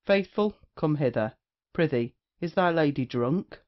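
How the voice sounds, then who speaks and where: An actor playing a brazen, witty rake in a period stage comedy, declaiming with mocking insolence. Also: male voice, fairly high pitch, expressive, unhurried, lo-fi sound. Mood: teasing. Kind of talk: theatrical period comedy acting.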